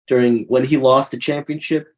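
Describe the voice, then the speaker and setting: A man's voice: mid-range voice, moderate pace. A young man co-hosting a podcast, chatting casually and animatedly, laughing often. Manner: animated, laughing, does mock call-out voices. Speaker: podcast co-host. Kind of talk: casual chat.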